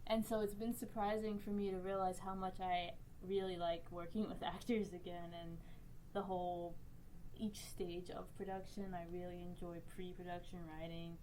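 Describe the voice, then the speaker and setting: A woman's voice: on the low side, flat delivery, moderate pace, mellow, poor audio. A young adult woman co-hosting a podcast, chatting casually in a round-table discussion. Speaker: podcast co-host and writer. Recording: faint room noise.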